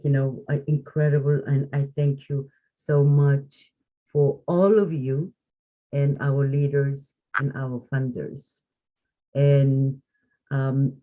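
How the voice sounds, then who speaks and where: A woman with a Korean accent speaks slowly and carefully, often pausing and restarting as she searches for English words. Her tone is subdued and mellow, and the call audio sounds dull and lo-fi. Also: rumbling low. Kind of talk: group reflection on a video call.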